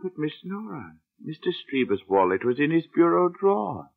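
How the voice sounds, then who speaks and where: An actor playing a deferential, apologetic manservant with a gravelly voice in a 1940s radio drama. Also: male voice, a little high, very animated, normal speed, soft background music, heavily acted. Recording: muffled, band-limited old radio recording.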